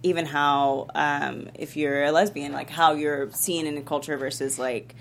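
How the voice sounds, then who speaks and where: Woman from the US, distinctly low register, conversational panelist, noise audible in the room. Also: steady tone, normal speed. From United States. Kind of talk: casual panel discussion. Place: indoor studio panel.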